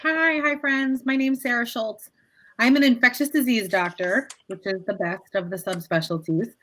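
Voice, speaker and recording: A woman's voice: on the high side, normal speed, sharp tone. A woman in her thirties, a doctor introducing herself on a video call panel in an upbeat, chatty way. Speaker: panelist, infectious disease doctor.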